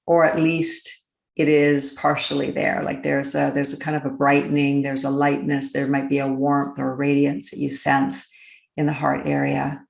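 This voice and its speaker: A woman leading a guided meditation, speaking gently and deliberately, with long pauses between phrases. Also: rumbling low, monotone, vocal fry, echoey room. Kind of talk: slow, unscripted guided meditation.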